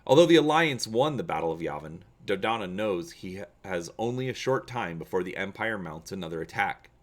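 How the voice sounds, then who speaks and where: A man reading an adventure gamebook aloud as a channel host, with measured, theatrical, deliberate phrasing. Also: sits low, lively intonation. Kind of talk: dramatic audiobook-style reading of an adventure gamebook.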